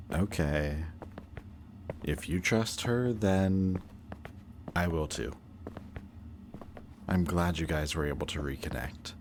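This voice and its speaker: A man acting a possessive, anxious antihero and devoted best friend in an emotional, halting audio roleplay addressed to the listener. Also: deep voice, slight background noise, full character voice. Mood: anxious, curious. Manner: halting, hesitant, earnest.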